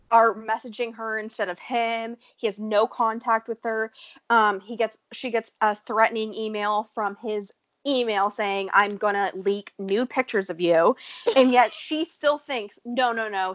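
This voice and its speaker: A woman's voice: on the high side, dry recording, lo-fi sound. Blunt, profane American woman chatting. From United States. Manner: blunt and profane, laughing often. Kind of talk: casual chat about a reality show.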